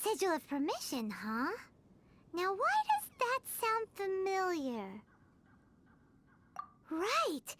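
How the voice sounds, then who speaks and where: A woman voicing a tiny, excitable, sassy fairy companion girl with a squeaky childlike voice in a video game. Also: slow, long pauses, full character voice. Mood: curious. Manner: refers to self in third person, exclamatory.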